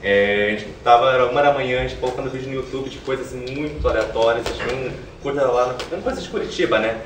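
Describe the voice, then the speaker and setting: A man's voice: medium pitch, quick pace. A young man giving informal, colloquial interview answers, thinking aloud as he goes. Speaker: theatre artist being interviewed. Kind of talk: animated conversational interview answers. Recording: roomy, distant mic, faint noise.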